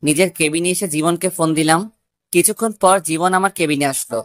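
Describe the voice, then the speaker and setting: Lowish female story narrator, dry sound. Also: fairly quick, dry recording. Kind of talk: scripted first-person love story narration.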